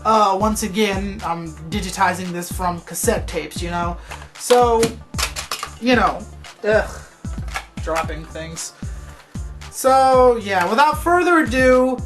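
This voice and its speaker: A woman's voice: mid-range voice, slow pace, long pauses, over music. A woman chatting casually to viewers as a video host, in an offhand, rambling way.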